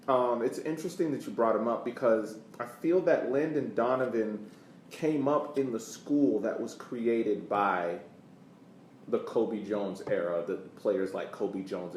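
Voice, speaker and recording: A man co-hosting a sports podcast with casual commentary, deliberately repeating words for emphasis. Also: lowish voice. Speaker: podcast co-host. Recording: echoey room, distant mic, faint noise.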